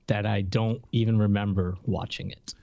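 A man co-hosting a podcast, giving his opinions in a casual, earnest and slightly halting way. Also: fairly low pitch, fairly even intonation, gravelly, dark tone, no room sound, dull audio. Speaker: podcast co-host. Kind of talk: casual review chat.

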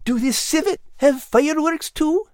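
Narrator voicing a timid little male critter, high-pitched. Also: expressive, normal speed, darkish tone, dry recording, clean studio sound. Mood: hopeful.

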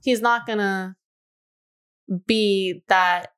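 Smooth-voiced woman in her twenties. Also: medium pitch, steady tone, a bit bright. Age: 20s. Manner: candid, confessional, drawn-out thoughts with frequent "you know". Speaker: podcast co-host. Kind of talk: casual podcast chat.

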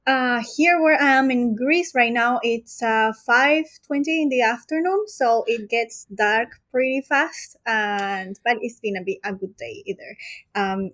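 A woman's voice: a little high, unhurried. A woman from Peru, a guest musician, talking casually in loose phrases and laughing lightly now and then. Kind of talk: casual interview. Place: home in Greece.

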